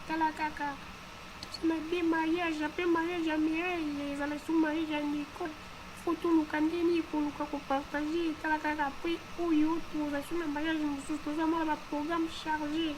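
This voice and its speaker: A woman's voice: high voice, natural voice. A woman voicing an earnest, insistent cartoon character in conversational dialogue, often repeating herself. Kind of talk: conversational cartoon dialogue in Lingala mixed with French. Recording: noisy background hum.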